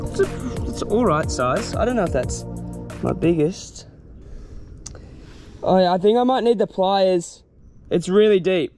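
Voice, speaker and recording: A young Australian man excitedly narrating while wading and fishing in mangrove shallows outdoors, laughing often and talking in loose slang. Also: high voice, a little slow, long pauses, soft background music. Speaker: fishing vlogger. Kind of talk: casual on-the-spot narration.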